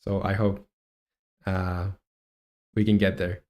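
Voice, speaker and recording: A man's voice: sits low, little pitch movement, slow pace, a little mellow. A young man from Chile in his twenties, chatting casually while he works on a game and reads chat aloud, with lots of fillers and restarts. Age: 20s. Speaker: game dev streamer.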